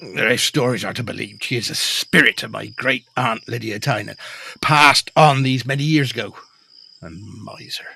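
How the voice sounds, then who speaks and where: An actor playing a nervous, evasive husband in a period ghost story, hesitant, fussy and defensive, often stammering. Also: male voice, mid-range voice, moderate pace, creaky, bright, forceful tone, slightly rough, no room sound, full character voice. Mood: nervous, grave. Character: nervous, evasive husband, anxious to flee haunted house. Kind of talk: period ghost story audio drama acting.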